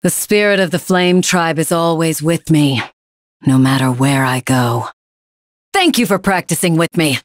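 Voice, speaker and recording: A woman's voice: low-pitched, animated, measured pace, no echo, clean studio sound. A woman playing a tough, proud young female fighter in a video game, blunt and commanding. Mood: confident, stern. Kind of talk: English game character voice lines.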